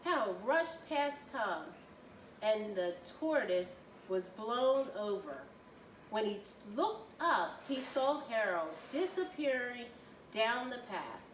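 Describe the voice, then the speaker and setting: A woman reading a children's story aloud with expressive, deliberate storytelling. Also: on the high side. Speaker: volunteer children's story reader. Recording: roomy, distant mic, faint noise.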